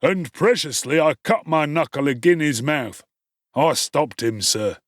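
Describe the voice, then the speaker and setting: A man acts out a great clumsy lout from a classic storybook. He boasts smugly in rough street speech, a little raised in pitch. The recording is close and dry. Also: expressive, a little slow, no room sound. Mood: smug boasting. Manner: rough colloquial speech, self-satisfied, fishing for reward.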